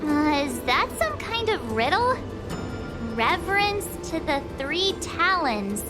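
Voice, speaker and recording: A woman's voice: high voice, big pitch swings, slow, loud music behind the voice, exaggerated voice. A woman voicing a small, perky, childlike sidekick in a game, blunt and easily puzzled. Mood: bewildered. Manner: blunt, exclamatory. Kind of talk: video game cutscene acting.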